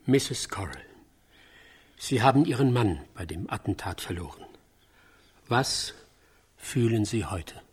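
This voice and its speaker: An actor playing a polite, halting field reporter in a radio drama, with a husky voice. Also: male voice, lowish voice, animated, full character voice. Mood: sober, probing. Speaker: on-site reporter.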